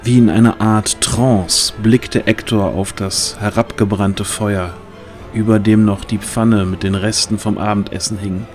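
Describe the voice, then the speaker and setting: A man's voice: on the low side, steady tone, measured pace, a little mellow. A man narrating a fantasy audio drama in a dramatic, scripted storytelling style. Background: music.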